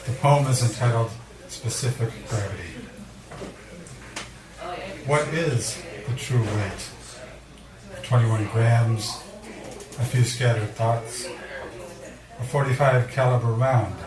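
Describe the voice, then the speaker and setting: A man's voice: medium pitch, far from the mic, crowd noise. Man reading poetry in an echoey room. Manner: measured, deliberate delivery with dramatic pauses. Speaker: poet reading at a poetry event. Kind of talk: live poetry reading with casual introductions. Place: indoor cafe venue before a live audience. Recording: echoey room recorded from afar, audience murmur.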